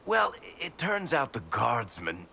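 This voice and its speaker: A man's voice: mid-range voice, wildly swooping pitch, a little slow, creaky, bright, forceful tone, slight background noise, full character voice. An actor voicing a wry, boastful male dwarf author in a video game, teasing and mock-humble.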